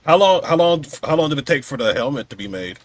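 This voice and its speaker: A man's voice: fairly high pitch, very animated, quick pace, no echo. A man hosting a casual podcast interview in an easygoing way with short reactions. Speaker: podcast host.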